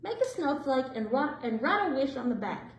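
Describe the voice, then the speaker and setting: A gentle, jolly male teacher from a storybook, read by a woman, patient and instructive. The delivery is expressive and varied, heard in a roomy echo. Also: sits high, normal speed. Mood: kindly.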